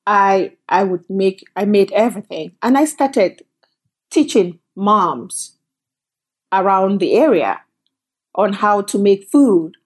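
Animated storytelling woman from Cameroon. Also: mid-range voice, husky. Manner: animated, storytelling, quick bursts, laughs often. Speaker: company founder, guest. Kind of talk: conversational interview.

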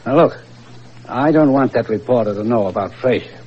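A male actor plays a commanding police inspector in a vintage radio mystery. He speaks in a medium-pitched voice with clipped authority and a shrewd edge. The recording is dull and slightly noisy. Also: a little fast, close to an everyday voice. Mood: brisk, determined. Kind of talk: old-time radio mystery acting.

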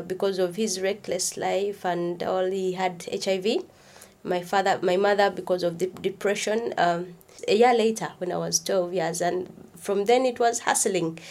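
A woman from Uganda, telling her life story in a hesitant way with many pauses and fillers. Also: medium pitch, a little mellow. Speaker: interviewee. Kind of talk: personal testimony.

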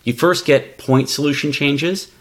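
A man's voice: fairly high pitch, unhurried. A man co-hosting a podcast, explaining tech ideas in a conversational, analytical way and thinking aloud with examples. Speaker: podcast co-host, venture capitalist. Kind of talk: casual, explanatory tech discussion.

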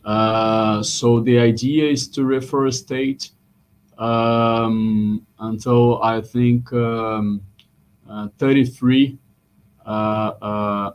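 A man from Brazil, a bank representative on a webinar panel, speaking haltingly with many fillers. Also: medium pitch. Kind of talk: online panel discussion.

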